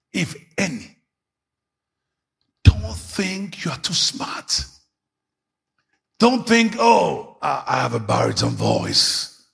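A male pastor preaching a sermon, dropping his voice low and then bursting into shouts. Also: gravelly, breathy edge. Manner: preaching with sudden shouts and dramatic swings in pitch.

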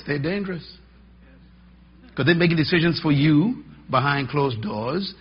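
Middle-aged male pastor, husky, emphatic folksy sermon delivery. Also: fairly high pitch. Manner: emphatic, rising and dropping for effect, folksy asides. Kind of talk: sermon teaching. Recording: dull lo-fi sermon recording.

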